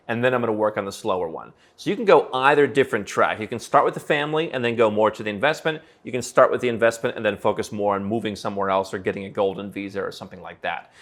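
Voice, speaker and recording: Male host, low voice, rapid confident delivery, explains by thinking aloud. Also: a bit bright. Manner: brisk, confident, thinks aloud with hypotheticals and fillers. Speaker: founder and host of an advisory channel. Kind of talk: direct-to-camera advice talk.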